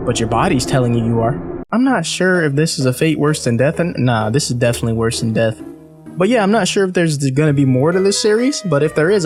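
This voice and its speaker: A man giving casual, slangy reaction commentary, speaking directly to his viewers. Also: medium pitch. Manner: informal slangy talk, addressing viewers directly. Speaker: reaction video host.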